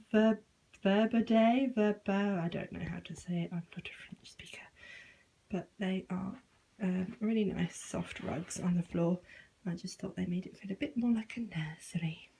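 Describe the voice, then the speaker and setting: Casting: young British woman, husky with some creak, informal home vlogger, self-deprecating and unpolished. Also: medium pitch, normal speed, mellow, poor audio. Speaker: vlogger giving a home tour. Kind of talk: casual informal chat to camera.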